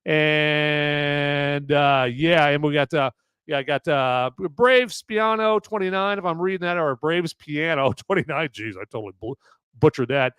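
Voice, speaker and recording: A man hosting a live movie review podcast, with a loud voice that carries, who rambles casually with lots of fillers and restarts. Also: a little high, measured pace, clear, resonant tone, no echo, clean studio sound.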